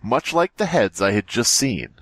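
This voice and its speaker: A man's voice: medium pitch, very animated, moderate pace, noisy background. A man hosting a comedy podcast, reading horror stories aloud in a joking, mock-dramatic way with casual banter. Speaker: podcast host.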